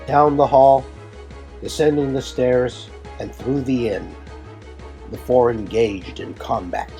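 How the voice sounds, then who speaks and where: A man's voice: mid-range voice, takes it slowly, dark tone. A man delivering scripted, dramatic narration for an audio drama. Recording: music bed behind the voice.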